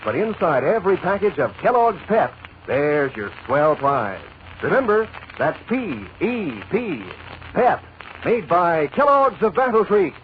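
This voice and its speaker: A man's voice: high-pitched, big pitch swings, unhurried. A man reading a folksy, chummy 1940s radio commercial with enthusiasm. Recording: muffled vintage radio, faint noise.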